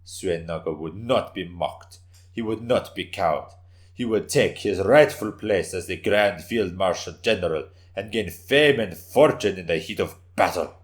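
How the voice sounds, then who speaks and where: Deep-voiced man narrating dramatically. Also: unhurried. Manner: intense, theatrical. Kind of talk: dramatic story reading, scripted narration.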